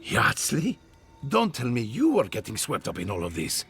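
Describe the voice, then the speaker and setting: Wry principled mage in a video game, really expressive voice, bit of music under it. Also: male voice, medium pitch, normal speed. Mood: wry, fond. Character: principled, dryly affectionate male animancer scholar. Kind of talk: scripted video game dialogue.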